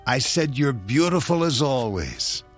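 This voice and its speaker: An actor playing a smooth, scheming husband who is glib, coaxing and sardonic, in a radio drama. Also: male voice, mid-range voice, big pitch swings, unhurried, soft background music. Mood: teasing.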